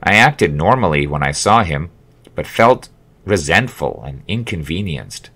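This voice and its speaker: Guy reading his memoir, low lively voice, wry storyteller, takes his time. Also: unhurried, husky. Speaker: author reading own memoir. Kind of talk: scripted narration, audiobook memoir reading.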